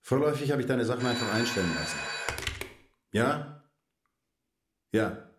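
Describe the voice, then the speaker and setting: A man's voice: medium pitch, expressive, moderate pace, reverberant, slight background noise, lightly acted. A man playing a composed, aloof husband in a German radio drama, curt and cool, holding back his hurt.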